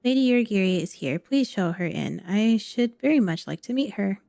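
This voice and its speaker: A woman voicing a gracious, dutiful noblewoman in a fantasy game, reading formal archaic dialogue in a gentle, measured manner. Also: mid-range voice, expressive, gravelly, no room sound, clean studio sound. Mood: solemn, gracious.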